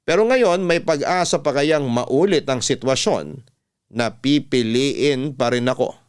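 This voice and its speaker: A man hosting on radio, reading a letter as a dramatic first-person story in a measured, sentimental way. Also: medium pitch, smooth.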